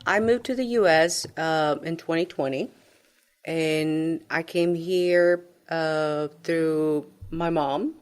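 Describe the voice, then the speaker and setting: Salvadoran woman, low-voiced, chatty guest. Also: slow pace, clean tone. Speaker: guest, coffee business owner. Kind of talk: radio interview conversation.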